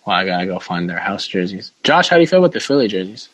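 A man's voice: low voice, big pitch swings, rapid-fire, a bit bright. A man hosting a casual sports podcast, chatting and steering the discussion. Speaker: podcast host.

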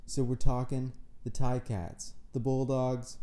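A man's voice: mid-range voice, level tone, unhurried, mellow. A man from Canada hosting a casual solo sports podcast, rambling and self-deprecating, nasal and tired from a cold. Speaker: podcast host. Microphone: own podcast microphone.

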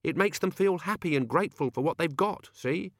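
Guy doing a fretful grumbly servant, pitched up, pleading with his master, storybook. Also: animated, fairly quick, no echo, studio quality. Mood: desperate pleading. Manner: deferential, pleading, exasperated. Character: Albert, anxious, grumbling male servant of Death.

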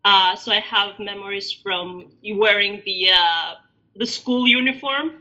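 A woman in her thirties from Albania, a podcast guest telling her story in a reflective, halting way with many fillers. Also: medium pitch, sharp tone. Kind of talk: podcast interview. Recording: dull lo-fi audio.

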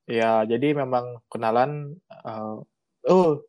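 A woman's voice: very deep voice, takes it slowly, warm tone, dry recording. Chatty young Balinese woman, dry close sound. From Bali. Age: young adult. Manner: chatty, many fillers, laughs often. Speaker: podcast guest. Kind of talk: casual chat.

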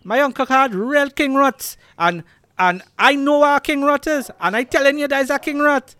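A man putting on a brash, loud Trinidadian know-it-all. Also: extremely high pitch, moderate pace, no echo, voice pushed up. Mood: indignant. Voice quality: loud, heavy local dialect. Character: brash man insisting on a wrong fact.

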